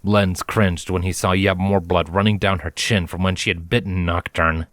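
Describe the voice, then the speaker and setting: A man reading a story as dramatic scripted narration, in a measured, solemn manner. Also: low voice, fairly even intonation, dry recording, clean studio sound. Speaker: fan fiction reader, narrator. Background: background music.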